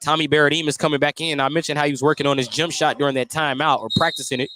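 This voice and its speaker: A man's voice: on the high side, steady tone, racing, pushed and bright. A man doing live play-by-play basketball commentary for radio, conversational and given to joking asides between calls. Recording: clear background noise, live gym broadcast.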